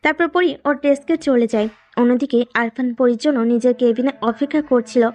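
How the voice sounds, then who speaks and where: A woman's voice: a little high, moderate pace, darkish tone. A woman reading scripted narration for a romantic story as its storyteller.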